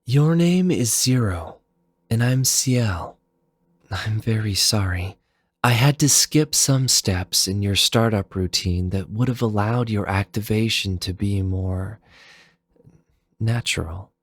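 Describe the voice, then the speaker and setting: A man voicing a gentle, anxious teenage girl in a fan fiction drama, soft and hesitant. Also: fairly low pitch, takes it slowly, no room sound, voice pushed up. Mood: soft, apologetic. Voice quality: soft, husky. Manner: hesitant, apologetic.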